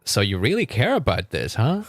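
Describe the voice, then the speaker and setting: A man's voice: on the low side, wildly swooping pitch, moderate pace, faint music behind. A man doing casual live commentary on a game as a streamer, chatty and reacting aloud with exclamations and repeated words. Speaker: livestreamer.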